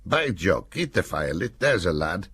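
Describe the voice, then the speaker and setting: A man voicing grumbling Cumbrian soldiers of the 1940s in rough, slangy, sardonic banter for a war memoir. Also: sits low, big pitch swings, a little slow, fairly bright tone, no echo, exaggerated voice. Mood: bickering banter.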